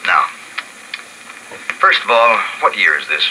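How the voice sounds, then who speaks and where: A grave survivor father, low-voiced. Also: very animated, measured pace, pushed and bright, a little hiss or hum, full character voice, low-quality recording. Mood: gentle. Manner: somber, earnest, musing. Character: weary man leading a few plague survivors, grave father. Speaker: narrator and lead character. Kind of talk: 1950s radio drama acting. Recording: old radio broadcast with music behind.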